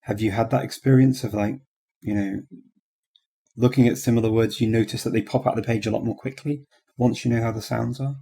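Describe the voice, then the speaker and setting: Gravelly, husky-voiced male podcast host. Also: mid-range voice, fairly even intonation, fairly quick, dark tone. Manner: conversational, many backchannels. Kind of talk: interview questions.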